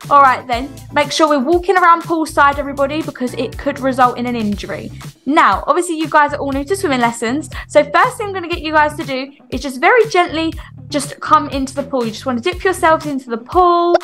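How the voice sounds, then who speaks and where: A woman's voice: high voice, brisk, sharp tone, soft background music. A woman playing an encouraging, rule-minded children's swimming coach in an improvised online game roleplay, instructing politely and reassuringly. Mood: instructive.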